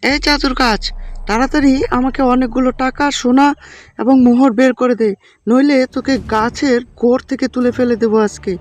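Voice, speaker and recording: A woman's voice: high-pitched, steady tone, normal speed, a little mellow, natural voice. A woman playing a greedy, bullying village woman in a cartoon, with a demanding, insistent way of talking. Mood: demanding, threatening. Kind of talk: cartoon voice acting.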